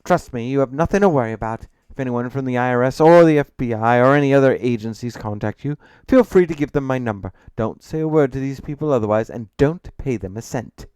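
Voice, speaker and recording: A man's voice: medium pitch, a little fast, hardly any pauses, a little mellow, clear, resonant tone, no echo, voice pushed up, natural voice. A man voicing an earnest, authoritative accountant in an audiobook, speaking in a firm, assertive way. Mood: reassuring, firm. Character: earnest male accountant, authoritative, confident, easily annoyed.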